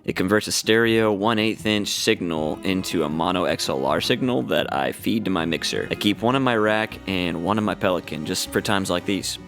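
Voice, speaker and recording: A man with a very low voice narrates in a scripted explainer style, as a sound engineer would. There is noticeable fry in his tone, and soft music plays behind him. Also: normal speed, few pauses.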